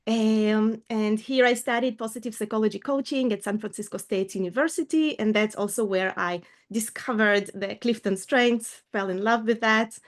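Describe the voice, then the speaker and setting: A woman's voice: a little high, moderate pace, hardly any pauses. A middle-aged woman from Ukraine, raised in Israel, speaking as a coach in a casual video call interview, earnest and thoughtful with small fillers. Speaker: coach and guest.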